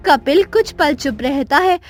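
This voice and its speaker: A woman's voice: high-pitched, animated, a little slow, faint music behind. A woman narrating a scripted children's moral story as its storyteller.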